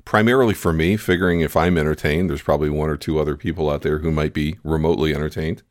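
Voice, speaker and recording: Male podcast co-host, low voice, dry close sound, deadpan quips. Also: fast, dry recording. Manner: dry wry humor, DJ-like introductions. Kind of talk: casual chat, radio-style hosting. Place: home studio, recorded locally over Skype.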